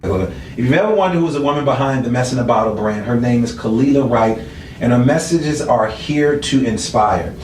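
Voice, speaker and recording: A man's voice: mid-range voice, moderate pace. A man hosting a casual interview show, teasing and slangy in his delivery. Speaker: talk show host. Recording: echoey room, distant mic.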